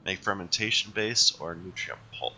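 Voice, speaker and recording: A man with a hoarse voice from being sick, hosting a game playthrough and thinking aloud as he plays, often correcting himself. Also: deep voice, moderate pace, bright, forceful tone, a little hiss or hum, poor audio. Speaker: let's play host. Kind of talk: casual gameplay commentary.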